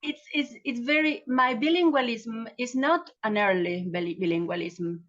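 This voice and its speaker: A woman poet whose first language is Spanish, reading her poems and talking haltingly over a phone, with frequent restarts. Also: on the high side, fairly bright tone, lo-fi sound. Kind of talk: poetry reading and round-table chat.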